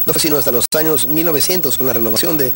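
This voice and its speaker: A man's voice: a little high, rapid-fire. A man from Texas, a guest in an interview, telling his own faith story in an earnest, reflective way with frequent hesitations. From Texas, USA. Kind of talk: personal faith testimony in conversation.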